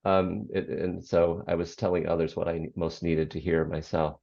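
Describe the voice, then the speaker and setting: A speaker in their sixties with a low bass voice, talking reflectively as an interview guest, pausing often with ums and restarting sentences. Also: little pitch movement, gravelly, warm tone. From United States. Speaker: guest, author and former radio program director. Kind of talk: interview conversation. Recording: slightly dull lo-fi audio.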